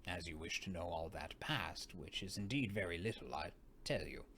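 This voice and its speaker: A man voicing a genteel, secretive father in an audiobook, measured and knowing, hushed at times. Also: sits low, pitched below the performer's own voice. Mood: confiding, measured. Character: secretive, genteel father, an older gentleman, amused and thoughtful. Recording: noticeable background noise.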